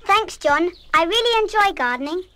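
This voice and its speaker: A woman playing a headstrong, impulsive but warm-hearted English schoolgirl in an audio drama. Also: very high-pitched, lively intonation, normal speed. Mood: friendly. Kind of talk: full-cast audio drama acting.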